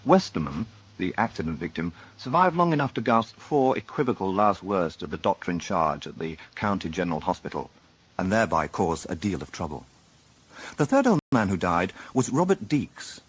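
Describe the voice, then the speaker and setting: A man acting as the narrator of a radio murder mystery, measured and dryly ironic. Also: low voice, sing-song, slightly rough, exaggerated voice. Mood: dry, grave. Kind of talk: scripted radio drama narration.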